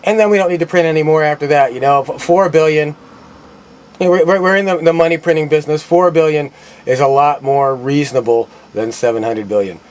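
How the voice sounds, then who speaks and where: A man's voice: a little high, fairly quick. A man giving an unscripted, opinionated political monologue, speaking earnestly and often stammering or repeating himself mid-thought. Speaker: online commentator.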